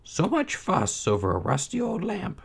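A man voicing Aladdin's mother, a fussy, scolding middle-aged woman with a shrill, exasperated manner. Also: huge pitch swings, moderate pace, creaky, husky, heavily acted. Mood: grumbling.

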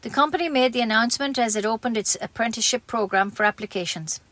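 A woman's voice: a little high, fast talker, fairly bright tone, no room sound. A woman with an Irish accent reading scripted local news as a community radio newsreader.

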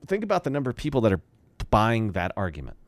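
A man's voice: fairly low pitch, fast talker, dry recording. A man co-hosting a political commentary show, talking emphatically. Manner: emphatic, lively. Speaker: co-host and editor.

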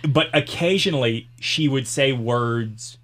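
A middle-aged man with a light Southern American lilt, hosting a podcast in easy, rambling chat. His voice is bright and forward, and he breaks into laughs easily. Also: mid-range voice, a little slow. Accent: mild Southern American. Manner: chatty, laughs often, rambling asides. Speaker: podcast host. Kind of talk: casual interview chat.

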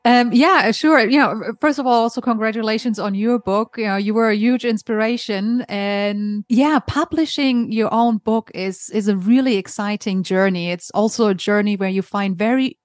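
A woman in her forties from Germany with a German accent, speaking as a guest in an interview in a relaxed, chatty way with frequent fillers. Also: mid-range voice, normal speed, hardly any pauses, no room sound. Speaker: guest author. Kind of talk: conversational interview.

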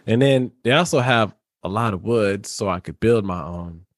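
A man's voice: lowish voice, moderate pace, no room sound, clean studio sound. A man co-hosting a podcast, talking in an easygoing, musing way. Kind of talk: casual chat.

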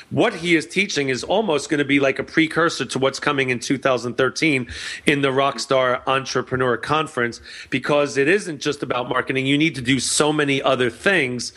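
A man hosting an interview, energetic, outgoing and loud by his own account. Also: mid-range voice. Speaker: host, marketing event organizer. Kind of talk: interview host.

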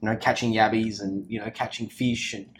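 A low-voiced Australian man speaks in a casual office interview, tumbling over his words with excitement and constant restarts. A faint hum of ambience sits behind him. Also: a little fast, poor audio. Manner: energetic, rambling with many restarts and fillers. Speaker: company founder, guest.

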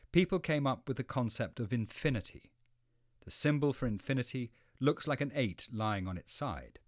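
A man reading scripted educational narration as a programme narrator, explaining things in clearly phrased sentences. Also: mid-range voice, no echo, studio quality.

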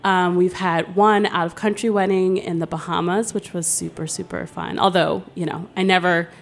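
A woman's voice: lowish voice, steady tone, normal speed, vocal fry, a little hiss or hum. A woman guest, a wedding planner, talking in a friendly, rambling way in an interview. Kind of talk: casual interview talk. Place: hotel studio.

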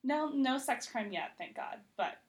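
A woman's voice: high voice, expressive, measured pace. An American woman speaking as a TV critic guest, informal and conversational, often saying "like". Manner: informal, frequent "like". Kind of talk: casual interview chat.

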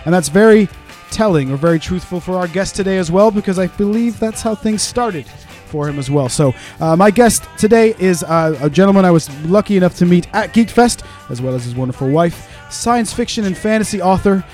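A man's voice: sits high, animated, brisk, few pauses, darkish tone, faint music behind. A man hosting a casual podcast interview in a studio, chatty and playful. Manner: chatty, playful promo delivery. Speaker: podcast host.

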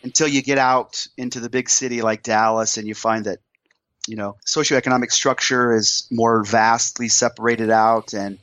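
A hoarse man from Iowa, a podcast guest, telling stories in a lively, joking way. His voice sits at a middle pitch. The sound is dry and a little dull. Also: moderate pace, no room sound. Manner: animated, joking storyteller. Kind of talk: casual chat.

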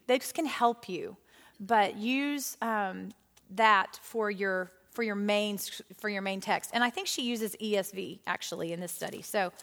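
A woman leading a women's Bible study, talking in an animated, chatty way with frequent fillers. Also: on the high side. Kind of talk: lively teaching talk to a women's Bible study group. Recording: hall with long reverb.

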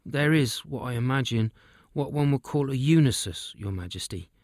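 A man's voice: mid-range voice, animated, moderate pace, darkish tone, no room sound, studio quality, close to an everyday voice. A man voicing a humble, out-of-breath messenger bringing urgent news in a story.

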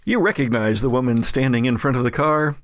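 A man narrating an improvised tabletop horror game as game master, telling it in a halting, suspenseful way. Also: medium pitch, no echo.

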